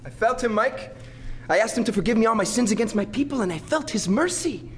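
Impassioned Chicano lawyer, sweeping pitch. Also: male voice, expressive, fairly quick, a little hiss or hum. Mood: fervent. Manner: declamatory, poetic, impassioned. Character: idealistic, brooding Chicano lawyer, a returning prodigal son. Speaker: lead actor and narrator. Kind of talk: theatrical audio drama acting.

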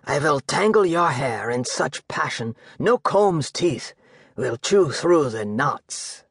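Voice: medium pitch, animated, slow pace, slightly rough, no echo, full character voice. A narrator voicing an imperious, lustful king who growls his lines and snaps his commands, in a dry, close sound. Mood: growling. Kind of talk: audiobook character voice.

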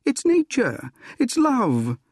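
An impulsive young suitor from a storybook romance, voiced by a male narrator. He pleads with earnest eagerness, his pitch swooping widely and often rising, with a husky, creaky edge. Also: huge pitch swings, slow pace, no room sound, studio quality, full character voice. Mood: ardent pleading. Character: ardent, impulsive young man pleading for love.

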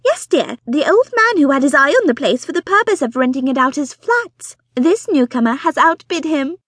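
Lady doing a squealy loud fussy mum in a storybook, voice bouncing all over, totally impatient. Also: sing-song, normal speed, dry recording, studio quality. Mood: excited, gushing. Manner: shrill, gushing, impatient. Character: excitable fussy middle-aged mother, matchmaking wife.

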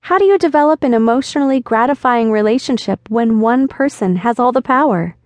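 Lady narrator doing a cocky flirty guy in an audiobook, smooth and teasing, dry close sound. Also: on the high side, animated, brisk, a little mellow, no echo, pitched above the performer's own voice, lightly acted. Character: flirtatious confident man chatting online. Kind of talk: audiobook dialogue reading.

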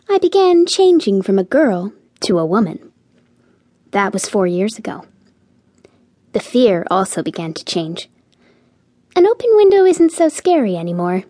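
Dreamy teenage girl, breathy and husky. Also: mid-range voice, warm tone, dry recording, clean studio sound. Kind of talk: audio drama monologue and dialogue.

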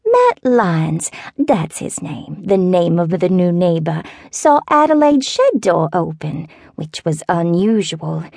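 A woman voicing a fussy elderly neighbor with a Southern accent, who talks in a slow, meandering, wavering falsetto. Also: very animated, vocal fry, darkish tone, no room sound, clean studio sound, full character voice. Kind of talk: audiobook character voice.

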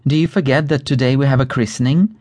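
A man's voice: medium pitch, fairly even intonation, quick pace, a little mellow, no room sound, lightly acted. A man voicing an astonished, fussing church sexton in a storybook, calling out urgently.

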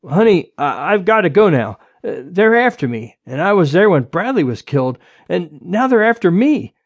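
A man playing a hunted, distraught husband and father in an audiobook, halting and urgent. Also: high voice, big pitch swings, darkish tone, slightly rough, dry recording, full character voice.

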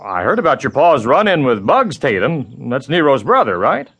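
A man playing a calm, steady Texas Ranger with an American accent, friendly but probing and folksy in a Western audio drama. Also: mid-range voice, vocal fry, breathy edge, exaggerated voice. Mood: calm, inquiring. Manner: folksy, measured questioning.

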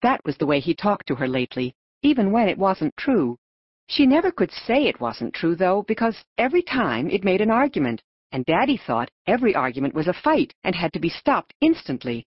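Woman audiobook narrator, low pitch, expressive swings in tone, children's novel, scripted storytelling. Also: brisk, no echo. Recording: dull, narrow lo-fi audio.

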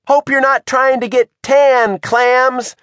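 A man voicing a brisk, practical and bossy young girl adventurer in a children's storybook. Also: very high-pitched, flat delivery, fairly bright tone, clear, resonant tone, no room sound, clean studio sound, pitched above the performer's own voice, full character voice. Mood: brisk, determined.

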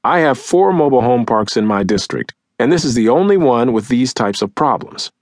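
A man's voice: mid-range voice, expressive, moderate pace, a little mellow, dry recording, clean studio sound, voice pushed up, close to an everyday voice. A man voicing a stern city alderman in an audiobook, speaking formally and pointedly to a council. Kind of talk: audiobook character quote.

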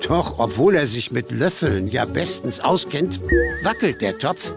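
A male narrator tells a children's story with expressive, swooping intonation and dramatic pauses. His voice has a creaky edge and sits over clear music. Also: mid-range voice.

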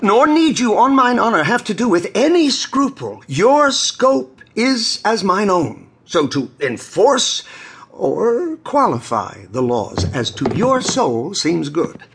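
An actor playing a solemn ruling duke in a Shakespearean stage drama, with formal, measured, oratorical delivery. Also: male voice, high-pitched, very animated, a bit bright, slight background noise, exaggerated voice. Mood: firm, commanding. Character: stately ruling duke, solemn, handing over power. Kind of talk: classical Shakespearean stage acting.

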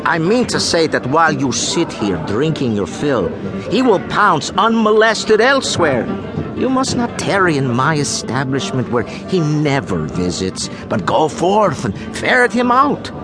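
An actor playing a portly, obsequious tavern keeper and storyteller in an audio drama, deferential with a sly, dry edge. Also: male voice, on the high side, big pitch swings, measured pace, music in the background. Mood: sly goading. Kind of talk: audio drama acting with narration.

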